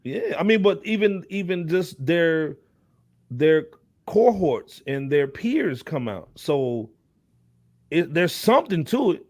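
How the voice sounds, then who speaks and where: A man with a fairly high voice speaks in a dry, echo-free sound. He gives casual commentary as a talk show panelist, in animated slang, and keeps checking that he is being followed. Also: takes it slowly. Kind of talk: casual hip hop commentary.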